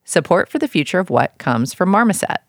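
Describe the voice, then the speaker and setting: Creaky-voiced podcast host, dry close sound. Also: medium pitch, sing-song, a little fast, fairly bright tone, dry recording. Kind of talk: interview chat and scripted show announcements.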